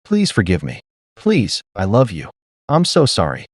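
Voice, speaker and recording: A deceitful young charmer from a dramatic audio story, acted out by a male narrator. He pleads and apologizes in a low voice with swinging intonation. The recording is dry and close. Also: wildly swooping pitch, unhurried, no room sound, heavily acted. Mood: pleading. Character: smooth-talking cheating young man pleading for forgiveness.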